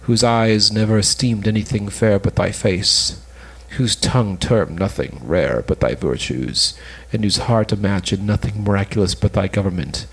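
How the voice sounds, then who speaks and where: A man's voice: lowish voice, moderate pace, gravelly, hardly any pauses, slightly rough, poor audio. A man playing a lovesick, melancholy suitor, earnestly declaiming in an amateur cold reading of an old stage play. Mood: pleading, earnest.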